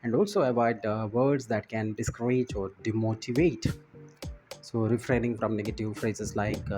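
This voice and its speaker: Male lecturer, lowish pitch, didactic and halting delivery, music bed underneath. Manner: didactic, halting, repeats key points. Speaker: instructor. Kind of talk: lecture explaining presentation slides. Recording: music behind the voice. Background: background music.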